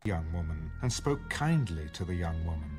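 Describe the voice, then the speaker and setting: Low, expressive male scripture narrator. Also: moderate pace, music in the background. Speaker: narrator of an audio Bible.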